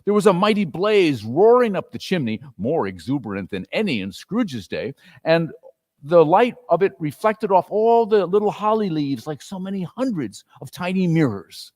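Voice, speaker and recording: A man's voice: a little high, big pitch swings, normal speed, a little mellow, long reverb tail. A man narrating a classic story as a dramatic reader.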